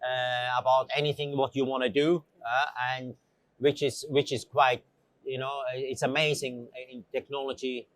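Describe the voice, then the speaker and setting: A middle-aged man from Switzerland chatting as an interview guest, speaking in a halting, conversational way with frequent "you know" asides. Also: mid-range voice, flat delivery, a bit bright, dry recording. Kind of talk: casual interview answers.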